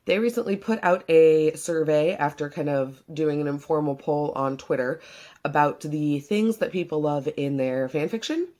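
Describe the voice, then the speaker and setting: Low-voiced woman vlogger with a cold. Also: moderate pace, darkish tone. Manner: chatty, explains at length with many fillers. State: congested from a cold. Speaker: BookTube vlogger. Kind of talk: casual vlog commentary.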